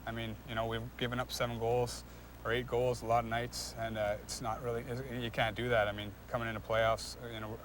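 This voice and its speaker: A young man, a hockey player, giving casual, halting interview answers with repeated words and frequent filler phrases. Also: mid-range voice, completely flat delivery, fairly bright tone, slight background noise. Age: young adult.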